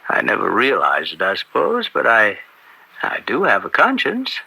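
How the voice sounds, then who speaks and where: An actor playing a smooth, evasive crooked politician in old-time radio drama, florid and placating, with hesitations. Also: male voice, lowish voice, huge pitch swings, moderate pace, pushed and bright, heavily acted, low-quality recording. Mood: contrite. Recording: vintage radio drama broadcast.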